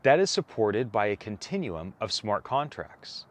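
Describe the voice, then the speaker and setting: A man reading a formal document aloud as scripted narration, enunciating deliberately. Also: lowish voice, sing-song.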